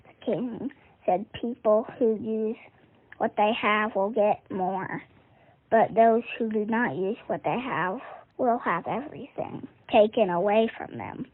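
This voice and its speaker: A woman's voice: medium pitch, slow. A female reader giving a scripted reading of a Bible story over a tinny, phone-like recording. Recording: tinny phone-like band-limited audio.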